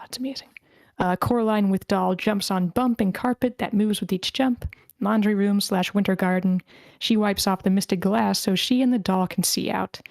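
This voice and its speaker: Woman, medium pitch, script narrator, stage directions, table read, dry sound. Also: vocal fry, darkish tone, dry recording. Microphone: video call.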